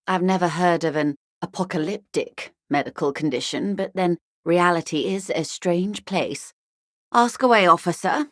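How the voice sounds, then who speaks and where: A worldly, genteel older lady from a literary video game. Her voice sits fairly low and is captured close and dry. She speaks with composed, wry polish and a touch of courteous condescension. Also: no room sound. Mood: musing, amused. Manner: urbane, wry, politely condescending, articulate. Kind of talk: video game character dialogue, measured and literary.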